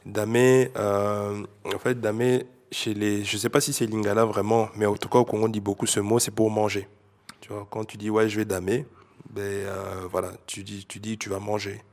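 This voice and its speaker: A man's voice: fairly low pitch, little pitch movement, moderate pace, gravelly, a little mellow. A man from Belgium casually explaining slang words, hesitant and full of fillers, switching between French and Dutch. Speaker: interviewee.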